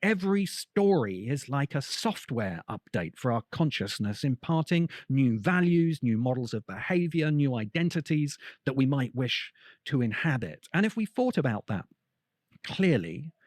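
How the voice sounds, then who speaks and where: A man delivering an essayistic podcast monologue, measured, articulate and emphatic, as a host and critic. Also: medium pitch, very animated, dry recording, studio quality.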